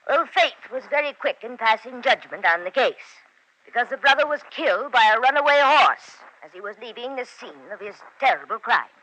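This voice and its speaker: Fluttery, bright-voiced genteel old lady. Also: fairly high pitch. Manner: fluttery, theatrical, old-fashioned courtesy. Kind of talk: 1940s radio acting. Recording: hissy, noisy 1940s radio recording.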